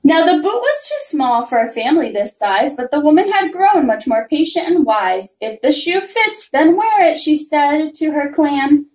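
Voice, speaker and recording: High, lilting woman reading a children's story. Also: normal speed, hardly any pauses. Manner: expressive, singsong rhyming delivery. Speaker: reader of a children's picture book. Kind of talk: read-aloud children's storytelling. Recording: roomy, echoing room sound.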